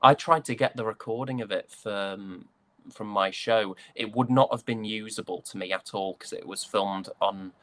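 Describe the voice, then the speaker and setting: Low-voiced British man, halting delivery. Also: fairly even intonation, fairly bright tone, dry recording. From United Kingdom. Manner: hesitant, halting storytelling. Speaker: interview guest, stage and voice actor. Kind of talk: casual interview chat.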